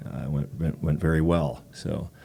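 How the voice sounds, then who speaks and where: Middle aged guy, really low voice, chatty podcast guest, matter of fact storytelling. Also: fairly even intonation, unhurried, vocal fry, mellow, husky. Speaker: podcast guest, former military physician assistant. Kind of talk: conversational storytelling.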